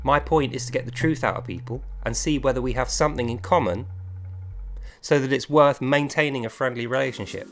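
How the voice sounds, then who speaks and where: A man's voice: mid-range voice, brisk, fairly bright tone, slight background noise. A man from England with a British accent delivers a confrontational, insistent monologue as an online video creator, addressing one person directly with emphatic phrasing.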